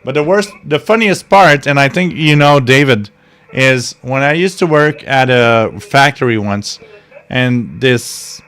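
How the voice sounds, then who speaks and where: A man chats on a live radio stream at an unhurried pace, his voice clean and smooth. He wanders through anecdotes with plenty of ums and false starts and laughs at his own stories. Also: medium pitch, a bit bright. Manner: chatty, rambling storyteller with many fillers and restarts. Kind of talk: casual rambling chat.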